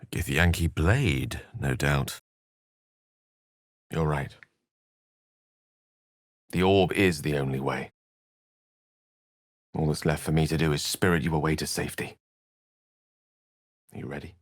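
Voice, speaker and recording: An actor playing a learned, wry wizard adventurer in a video game, with a British accent and an eloquent, theatrical way of talking. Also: male voice, a bass voice, steady tone, a little slow, long pauses, dry recording, clean studio sound. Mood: earnest, grave. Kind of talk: video game character voice acting.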